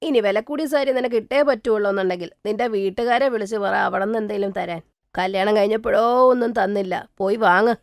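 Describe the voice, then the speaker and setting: A woman's voice: mid-range voice, a little fast, no room sound, studio quality, lightly acted. A woman voicing a stingy, cutting older mother-in-law who scolds her daughter-in-law. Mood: scolding. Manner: scolding, dismissive.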